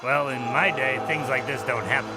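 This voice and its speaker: A man's voice: mid-range voice, normal speed, lightly acted, poor audio. A man playing a skeptical, sarcastic modern time traveler in an audio drama, talking in a dry way. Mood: grudgingly impressed. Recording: loud dramatic music underneath.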